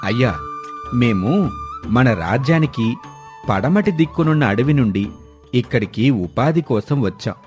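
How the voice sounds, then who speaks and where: A man's voice: mid-range voice, animated, unhurried, pauses often, a little mellow, faint music behind, natural voice. A man voicing a humble, earnest young forest villager who speaks respectfully in a cartoon moral story. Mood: humble pleading. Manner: respectful, pleading.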